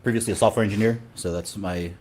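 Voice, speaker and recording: Fast-talking deep-voiced man. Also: a little hiss or hum. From Sudan. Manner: fast, relaxed, many fillers and restarts. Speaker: dating coach. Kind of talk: casual interview talk.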